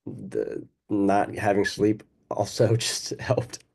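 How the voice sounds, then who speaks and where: A man's voice: fairly low pitch, big pitch swings, slow pace, vocal fry, darkish tone, husky. A man speaking as an actor at a festival Q&A, casual and self-deprecating, often laughing. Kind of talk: festival Q&A answer.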